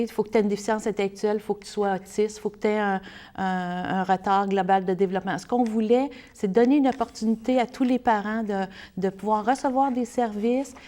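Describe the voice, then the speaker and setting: Quebec french lady being interviewed, explains things earnestly, medium pitched voice. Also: darkish tone. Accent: Québécois French. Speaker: interview guest, spokesperson for a children's service.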